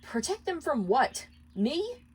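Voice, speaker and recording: A woman plays an imp husband at the end of his tether in a storybook drama. His voice is pushed up high, argumentative and pleading, full of exasperation. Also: big pitch swings, slow pace, heavily acted, rough recording. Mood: exasperated, defensive. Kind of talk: dramatic storybook character reading.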